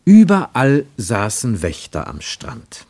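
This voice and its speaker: A man with pronunciation reading literary prose for radio, measured and deliberate, with emphatic phrasing and pauses. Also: medium pitch, huge pitch swings, darkish tone, no echo. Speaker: narrator, reader of literary prose. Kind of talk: scripted literary narration for German radio.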